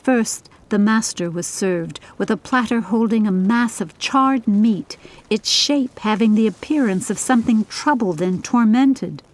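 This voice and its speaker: A woman's voice: medium pitch, a little slow, low-quality recording. A woman reading scripted audiobook narration of a story.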